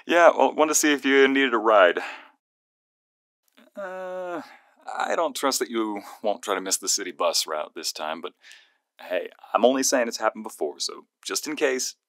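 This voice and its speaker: A man's voice: medium pitch, lively intonation, unhurried, pauses often, a bit bright, dry recording, clean studio sound. A man playing a playful, protective young male friend who is secretly fond of the listener, in a one-sided ASMR roleplay phone call with teasing, earnest banter. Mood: playful, mock indignant.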